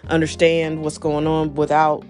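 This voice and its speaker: A woman's voice: low voice, completely flat delivery, measured pace, darkish tone, faint music behind. A middle-aged woman hosting her own show, talking off the cuff with frequent laughs and wandering asides. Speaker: podcast host. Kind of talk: unscripted solo talk.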